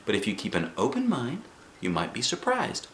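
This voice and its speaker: A man voicing a gentle, encouraging mother in a storybook, soft and coaxing. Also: medium pitch, huge pitch swings, normal speed, roomy sound, slight background noise.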